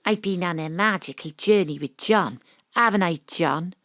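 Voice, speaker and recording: A woman acting in an audio drama as a shrewd, theatrical amateur detective who narrates and probes deliberately. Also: fairly low pitch, a bit bright, dry recording, lightly acted. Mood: confiding. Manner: deliberate, probing, storytelling. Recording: dry, close home-studio recording.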